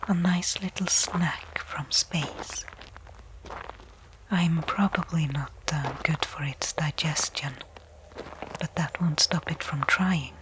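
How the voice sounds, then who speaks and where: Casting: woman acting as a tense stranded astronaut for ASMR sci-fi roleplay; low, breathy whisper, deliberate delivery. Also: poor audio. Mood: uneasy, wary. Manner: slow, hushed, deliberate phrasing with pauses. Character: tense woman space explorer stranded on alien planet. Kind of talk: whispered ASMR audio roleplay, first-person monologue.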